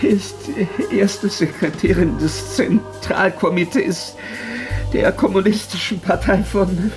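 A man's voice: high voice, fairly even intonation, takes it slowly. An actor in an audio drama playing a flustered male Soviet journalist, indignant and fearful under threat. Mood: cowed, pleading. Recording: loud music under the voice.

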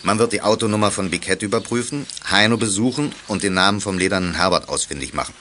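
A stern, caring middle-aged dad from a radio drama. His voice is low and forceful, explaining and admonishing, with slight background noise. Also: quick pace. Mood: earnest. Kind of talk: German radio play acting.

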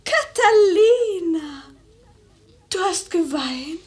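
A young widowed noblewoman in a verse radio play of the 1940s. Her voice is high and her lines come very slowly, declaimed with tender melancholy and poetic exclamation. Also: expressive, full character voice. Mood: tender, wistful. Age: young adult. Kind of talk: 1940s German radio verse drama acting. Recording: 1949 radio drama recording.

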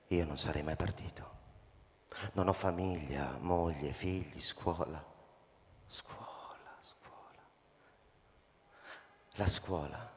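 An actor playing a thoughtful, uneasy school principal, narrating in a measured radio-drama manner with pauses. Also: male voice, sits low, darkish tone. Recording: reverberant hall, music bed underneath. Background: music.

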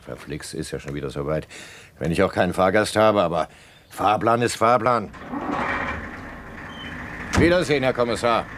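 An actor in a German radio play playing a talkative bus conductor who is pedantic about punctuality. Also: male voice, lowish voice, animated, moderate pace, pauses often, background noise, natural voice. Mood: eager, self-assured. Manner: precise, eager, talkative.

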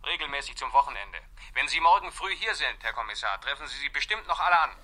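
An actor playing a dutiful police assistant who reports briskly and deferentially in an old radio drama. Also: male voice, medium pitch, expressive, pushed and bright. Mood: informative, amused. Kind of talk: 1960s German radio drama acting.

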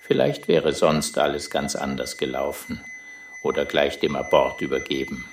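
A man playing Schmidt, a gruff, sardonic space traveler in an audio drama, with a dry delivery. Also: very deep voice, steady tone, moderate pace, noisy background. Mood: dry, scornful. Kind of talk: scripted audio drama acting. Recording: echoey room.

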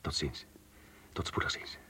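An actor playing a married man secretly in love in a radio play, restrained but intense, with a hushed, husky voice. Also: a little slow, whispered. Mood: tender, restrained.

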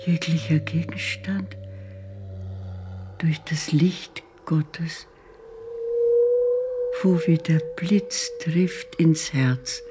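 An actress playing a rapt, mystical woman in a poetic radio drama monologue, hushed and reverent. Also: low voice, drawn-out words, pauses often, very noisy. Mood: awed. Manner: hushed, reverent, often whispering. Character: rapt, mystical woman offering herself to divine light.

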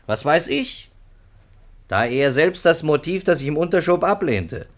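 Male police interrogator, 1950s radio play: insistent, sardonic, highly animated intonation. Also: a little slow. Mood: agitated. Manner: pressing, sardonic, rhetorical questioning. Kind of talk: 1950s German radio drama acting.

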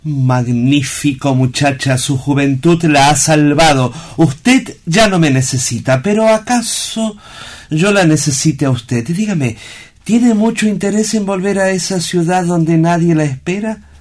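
A man's voice: a little high, lightly acted. A man playing a kindly, paternal sanatorium director in an audio drama, speaking formally and courteously to reassure. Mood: reassuring. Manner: formal, courteous, measured phrasing. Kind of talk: theatrical audio drama acting.

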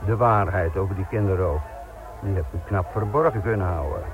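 Wry male police inspector, acted by a man, low-pitched and drily insolent, radio drama on a narrow, phone-like old recording. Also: natural voice. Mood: ironic, needling. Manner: dry, ironic, unhurried banter. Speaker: actor in a Dutch radio play.

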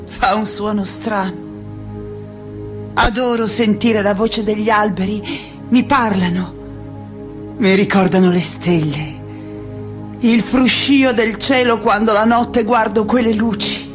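Actress playing reflective woman philosopher, passionate, slow delivery, theatrical monologue, dramatic pauses, music behind. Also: medium pitch, steady tone, lightly acted. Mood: dreamy. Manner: lyrical, deliberate, dramatic pauses.

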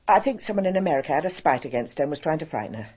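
A woman playing a brisk, reserved, frank spinster assistant in a radio drama, with an English accent and a husky, matter-of-fact delivery. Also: deep voice, sing-song, a little mellow, heavily acted.

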